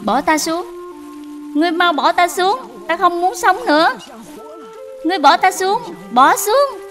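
A woman voicing a stubborn, sorrowful, frail old mother-in-law with an emotional manner in an animated dub. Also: extremely high pitch, unhurried, full character voice. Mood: distraught. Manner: agitated, blunt, emotional. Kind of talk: dubbed animated moral tale. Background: background music.

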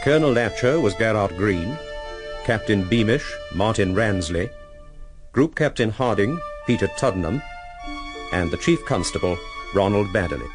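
Radio announcer: man, fairly low, formal measured read over clear music. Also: lively intonation. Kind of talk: scripted broadcast announcement.

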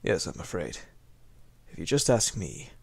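A man playing an aggrieved, gossipy old butler with a husky, creaky voice and formal, deferential manner in an audio drama. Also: deep voice, very animated, unhurried, darkish tone, no room sound, exaggerated voice. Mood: deferential, confiding.

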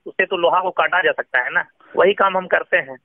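A man's voice: fairly high pitch, fairly quick, no room sound. A man with a Bihari accent arguing his case respectfully in a recorded phone conversation. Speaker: phone interviewee. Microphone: telephone. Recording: tinny, band-limited phone call.

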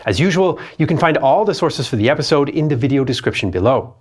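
A man's voice: mid-range voice, expressive, quick pace, husky. A man in his thirties, host of a history channel, delivering scripted documentary narration in a studio. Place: dark studio set.

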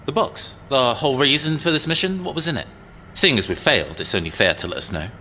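A man playing a cocky, touchy young robot pilot and tinkerer in an audio drama, sarcastic and animated. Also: mid-range voice, fast, a little hiss or hum. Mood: suspicious.

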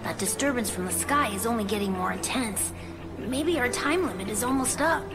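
A woman's voice: a little high, expressive, moderate pace. A woman voicing a small, earnest, brave young male fox sidekick in a video game cutscene. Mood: concerned. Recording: loud music behind the voice.

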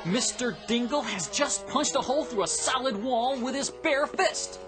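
Broadcast reporter, announcerly, dramatic, earnest, radio play, music underneath. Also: male voice, very high voice, moderate pace. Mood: amazed. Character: earnest male live broadcast reporter, easily amazed. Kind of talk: radio drama acting, live news commentary.

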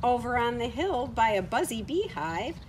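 A middle-aged woman reading a picture book aloud to children in an expressive way, recorded outdoors. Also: on the high side, normal speed. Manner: expressive, acting out short quoted words. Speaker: children's story time reader. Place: outdoors on a farm, beside horses. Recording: outdoor ambient noise from camera mic.

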